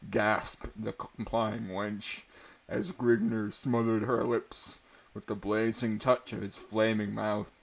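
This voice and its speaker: Slow, low-voiced man reading theatrically. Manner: mock-serious, theatrical, deliberate, breaking into asides. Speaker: narrator. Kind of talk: amused dramatic reading of pulp fantasy.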